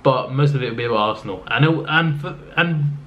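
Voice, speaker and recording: A man's voice: mid-range voice, a little fast. A man with a fading voice, rambling through a casual football recap in a subdued tone, with lots of restarts. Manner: subdued, flat-sounding delivery; rambling, with frequent restarts and fillers. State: losing his voice. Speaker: football vlogger. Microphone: camera mic. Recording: slightly dull lo-fi audio with faint noise.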